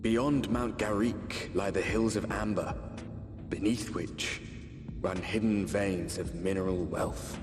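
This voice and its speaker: Very deep, solemn fantasy sage. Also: male voice, steady tone. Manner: solemn, measured. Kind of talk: poetic scripted game narration. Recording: long hall reverb, clear music behind. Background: music.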